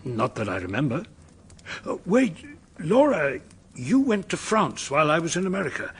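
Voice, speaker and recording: An actor playing an upper-class British knight in a radio drama, courtly but shaken and faltering. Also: male voice, on the high side, very animated, normal speed, slightly rough, heavily acted. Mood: flustered.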